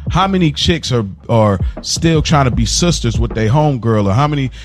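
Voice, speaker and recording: A man's voice: mid-range voice, animated, moderate pace, slight background noise. A man from the United States co-hosting a casual podcast in an informal, slangy, questioning manner. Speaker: podcast co-host. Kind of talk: casual conversational podcast chat.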